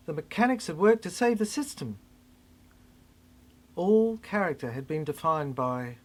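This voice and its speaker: A narrator performing a theatrical spoken-word monologue in an emphatic way, with halting repeats. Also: medium pitch, fairly bright tone. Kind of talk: dramatic spoken-word narration of a cryptic science-fiction monologue.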